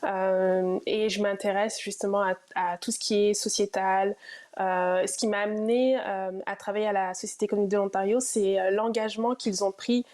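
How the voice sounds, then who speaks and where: A woman's voice: mid-range voice, steady tone, moderate pace, a little mellow. A woman, herself an immigrant, answering interview questions about her program with frequent hesitations. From immigrated to Ontario. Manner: hesitant, many fillers.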